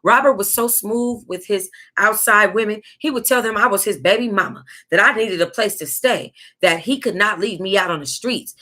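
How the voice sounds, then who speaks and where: A woman's voice: mid-range voice, normal speed, few pauses. An American woman hosting a book club, reading a tell-all aloud in a casual, sassy way with reactive asides. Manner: sassy, animated reactions, breaking into laughter and asides.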